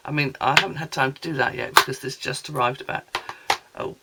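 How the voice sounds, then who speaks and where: A woman with a hoarse, gravelly voice from a sore throat, chatting in a rambling way as she shows off a craft haul. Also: extremely low pitch, fairly even intonation, fairly quick, very noisy. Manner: chatty, rambling, many ums and asides. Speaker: craft vlogger. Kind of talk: casual product haul chat.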